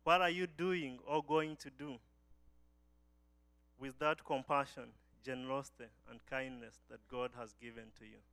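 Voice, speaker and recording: A man's voice: mid-range voice, fairly even intonation, moderate pace, a bit bright, smooth, no room sound. A young man from Uganda giving an earnest church testimony as a guest speaker, often restarting his phrases.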